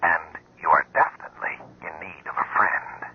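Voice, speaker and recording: A sinister radio-drama stranger on the telephone, very low and badly husked. He is formal and measured, quietly ominous as he makes secretive offers, through a muffled old radio sound. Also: steady tone, slightly rough. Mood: calm, secretive. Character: menacing, smooth-talking man making secretive offers by phone. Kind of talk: radio mystery drama acting.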